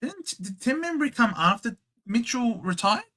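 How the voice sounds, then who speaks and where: A man's voice: extremely high pitch, very animated, moderate pace, pushed and bright. An Australian man hosting a casual livestream, chatting, thinking aloud and reading out viewers' names. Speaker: livestream host.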